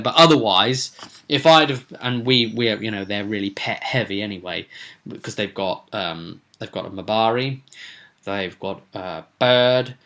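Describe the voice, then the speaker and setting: Casting: male game master for an informal vlog, forceful bright tone, digressive and halting delivery. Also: medium pitch. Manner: rambling, digressive, halting with self-corrections. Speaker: game master recapping his roleplaying sessions.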